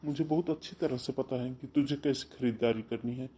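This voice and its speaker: An impatient young husband snaps back in a mellow, dark voice, voiced by a man. His speech is brusque and irritated, straight out of a cartoon family story. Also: medium pitch, a little fast, a little hiss or hum, close to an everyday voice. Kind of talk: cartoon voice acting.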